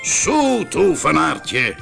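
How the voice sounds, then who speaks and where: A man's voice: fairly high pitch, wildly swooping pitch, drawn-out words, gravelly, music in the background, full character voice. A man voicing a wicked, scheming toad baron in a storybook, menacing, gloating and commanding. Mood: menacing, false sweetness.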